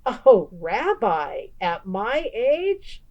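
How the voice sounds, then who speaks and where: A woman's voice: fairly high pitch, sing-song, very slow, darkish tone, lo-fi sound, voice pushed up, heavily acted. A woman voicing a kindly, chatty old woman in a children's story, exclaiming and fussing affectionately. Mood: fond, warm.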